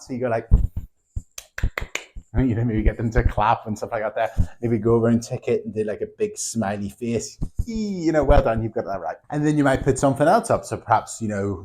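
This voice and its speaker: A man's voice: lowish voice, noisy background. A man, a maths teacher casually and animatedly explaining a classroom method to other teachers, often checking in with "okay?". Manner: chatty, animated, tags lines with "okay?".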